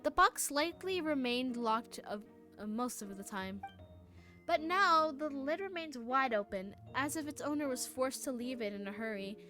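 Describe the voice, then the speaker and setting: Bright-voiced woman streaming a game. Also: sits high, lively intonation. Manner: halting when reading aloud, self-correcting, muttering asides. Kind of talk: casual livestream gameplay commentary, reading in-game text aloud. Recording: music playing behind the voice. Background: game music.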